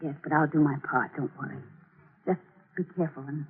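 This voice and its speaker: An actress in an old-time radio thriller playing a nervous, devoted accomplice to a murderer. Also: female voice, low-pitched, expressive, fairly quick, breathy edge, exaggerated voice. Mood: anxious. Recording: tinny narrow-band old radio recording.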